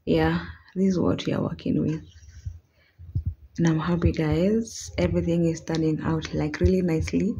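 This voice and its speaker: A young woman with a Kenyan accent, talking in a low voice in a loose, friendly vlog chat, sprinkling Swahili words into her English. She speaks to a camera on a stand in a small apartment. The bare room rings with echo and a faint hiss sits underneath. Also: level tone, normal speed, mellow, muffled recording. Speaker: home vlogger. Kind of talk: casual chatty vlog.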